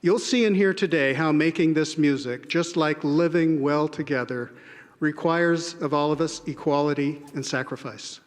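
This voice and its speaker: A man, an English professor, giving a measured, earnest prepared speech to a large audience. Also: on the high side. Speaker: English professor giving a spoken-word address. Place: large indoor arena. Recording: reverberant arena sound.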